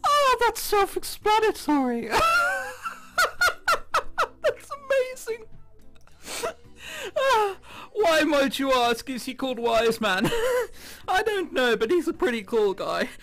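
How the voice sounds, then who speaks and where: A man doing a let's play of a visual novel, reading aloud and reacting in a giggly, excitable way. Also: very high-pitched, slow, long pauses. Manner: giggly, excitable, often breaking into laughter while reading. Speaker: video game commentator. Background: game background music.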